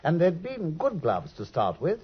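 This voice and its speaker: A man's voice: fairly high pitch, huge pitch swings, exaggerated voice. An actor playing an earnest, loyal male doctor in a vintage radio drama, speaking eagerly and also narrating. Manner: eager, earnest, quick to exclaim. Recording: dull, husky old radio recording.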